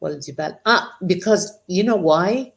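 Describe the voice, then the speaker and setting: Co-host: woman with a somewhat low voice, slow reader, relaxed banter. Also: gravelly. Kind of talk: casual chat, reading translations aloud.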